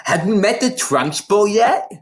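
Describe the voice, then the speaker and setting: A man's voice: high-pitched, lively intonation, measured pace, pitched above the performer's own voice. A man acts out a big, rugged ten-year-old schoolgirl in a storybook. She is brash and boastful, pushing her words out with emphasis and savouring every grim detail. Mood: brash, taunting.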